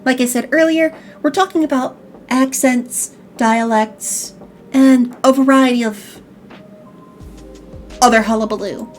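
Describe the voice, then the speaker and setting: Chicago-ish lady hosting a chat stream, pretty high voice, keeps restarting her words. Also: measured pace, long pauses, faint music behind. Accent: slight Chicago. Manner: chatty, animated, restarts words often. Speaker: podcast host. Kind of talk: casual online chat show.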